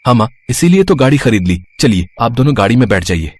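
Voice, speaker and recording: A man playing a harried young husband in an animated moral story, earnest and placating. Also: medium pitch, fast talker, noisy background, lightly acted. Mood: placating, pleading.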